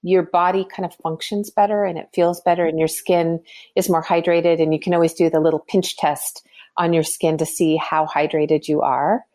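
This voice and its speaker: A woman's voice: fairly low pitch, level tone, moderate pace, mellow. A woman in her fifties, a skincare company founder, talking earnestly in a podcast interview and explaining her views with frequent restarts. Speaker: skincare brand founder, guest.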